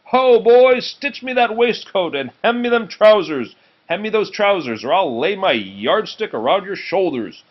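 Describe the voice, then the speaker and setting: A man's voice: high voice, sing-song, a little slow, muffled recording, pitched above the performer's own voice, heavily acted. A man reading a storybook, voicing a bold, boastful tailor with an assured way of talking. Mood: bossy. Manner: bragging, assured. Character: bold boastful young tailor, cocky and unafraid.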